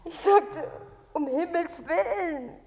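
A woman's voice: very high voice, slow pace, mellow, long reverb tail, exaggerated voice. An actress playing a wounded, bitter young woman in a radio drama monologue. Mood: bitter, agitated. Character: young woman recounting a brutal ordeal, bitterly laughing.

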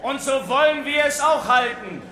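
An actor playing a male emcee who shouts to a crowd in a radio play. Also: very high voice, animated, normal speed, echoey room, full character voice. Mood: rousing. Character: loud high-voiced male show emcee.

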